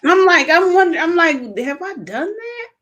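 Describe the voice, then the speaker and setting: A woman's voice: very high-pitched, big pitch swings, normal speed, a bit bright. A woman host chatting casually, giggly and reacting with short remarks. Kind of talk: casual chat.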